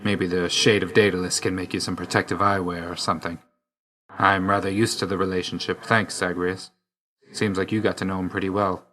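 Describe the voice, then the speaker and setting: A man playing a grim, aloof god of death in a video game, terse and deadpan, stern yet grudgingly loyal. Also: low-pitched, brisk. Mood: dry, reserved. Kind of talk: scripted game character lines.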